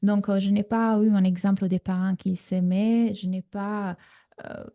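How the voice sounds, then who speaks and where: This is a woman from Italy, speaking with a clear Italian accent as she coaches a live online class. Her voice is mellow and dark, with a gravelly fry at the ends of phrases. She talks in a reassuring way, with plenty of fillers, through a computer mic. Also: mid-range voice, little pitch movement, unhurried, lo-fi sound. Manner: warm, reassuring coaching talk with frequent fillers. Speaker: relationship coach.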